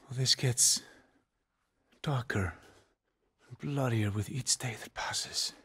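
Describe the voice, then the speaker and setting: A man with an Icelandic accent playing a grave, weary Norse villager in a video game, with a husky, breathy voice. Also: medium pitch, takes it slowly, sharp tone, exaggerated voice. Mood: weary, grim. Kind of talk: dramatic video game voice acting.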